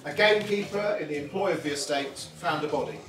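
A man playing a dramatic murder-mystery detective, announcing a death deliberately to dinner guests. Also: on the high side, very noisy, natural voice. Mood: grave, theatrical. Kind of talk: theatrical dinner-party announcement. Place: wood-panelled dining room at a dinner party. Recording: echoey dining room, far off, loud crowd.

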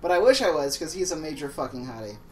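A man's voice: a little high, very animated, fast talker, a little mellow, far from the mic, rough recording. Wry young man, slightly off-mic. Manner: wry, joking. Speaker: let's play co-host. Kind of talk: casual gaming banter.

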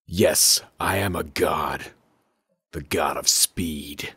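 A man voicing a menacing, godlike speedster villain, declaiming grandly and slowly in a husky, rumbling voice. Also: pushed and bright, full character voice. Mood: grandiose menace.

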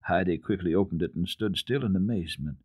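A man giving an expressive, dramatic reading of classic children's literature as a radio storyteller, with deliberate phrasing. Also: sits low, husky, no room sound, studio quality.

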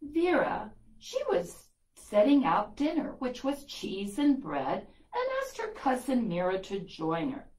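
Older woman, children's storyteller, animated pitch, deliberate emphasis, echoey classroom. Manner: deliberate, emphasising key words. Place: small classroom, indoors. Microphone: camera a few metres away.

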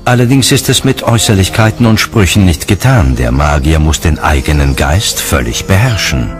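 A man narrating a documentary from a script in a measured, articulate way. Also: low voice, expressive, music in the background.

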